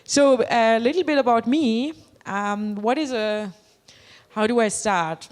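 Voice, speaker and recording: A woman's voice: on the high side, slow pace. A woman with an Indian accent giving a conference talk to an audience, hesitant, with many fillers and questions to the room. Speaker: conference speaker. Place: conference hall.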